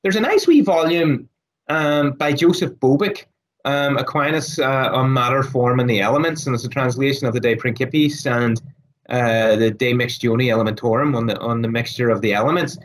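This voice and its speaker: A man with a raspy, hoarse voice who is losing his voice. He speaks as a guest scholar, thoughtful, with many fillers and restarts. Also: medium pitch, normal speed. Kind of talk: casual interview talk.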